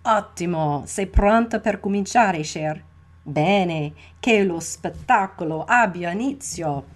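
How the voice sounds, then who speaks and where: Podcast host lady, kinda husky and lowish, upbeat, drops italian words in. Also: a little slow. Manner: mixes Italian phrases into English, upbeat. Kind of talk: scripted podcast talk.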